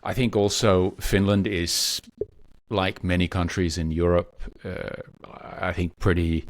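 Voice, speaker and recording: A man, a podcast guest, who talks in a halting way with many restarts. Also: deep voice, level tone, no echo. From Denmark. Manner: hesitant, many restarts and fillers. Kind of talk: conversational interview.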